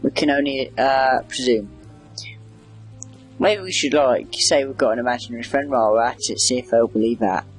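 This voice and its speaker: A man's voice: medium pitch, moderate pace, faint music behind. A man giving casual, rambling video game commentary, wry and chatty as he reacts aloud. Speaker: gamer doing a video game playthrough.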